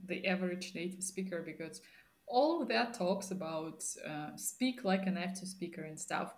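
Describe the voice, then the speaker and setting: Russian-native woman in a chat, direct, asks questions, makes her point. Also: on the low side. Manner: questioning, opinionated. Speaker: conversation participant. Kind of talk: casual chat.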